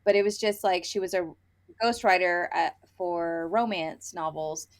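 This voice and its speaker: A woman's voice: lowish voice, a little slow. A woman co-hosting a casual book review podcast, talking candidly and animatedly as she retells her own reactions. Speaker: podcast co-host.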